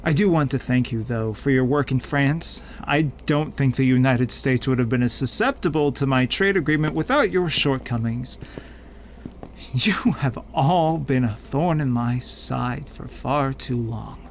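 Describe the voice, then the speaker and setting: An actor playing a smug, menacing crime lord in an audio drama, pompous and taunting with drawn-out mock politeness. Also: male voice, medium pitch, slight background noise, natural voice. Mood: smug, gloating. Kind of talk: audio drama villain acting.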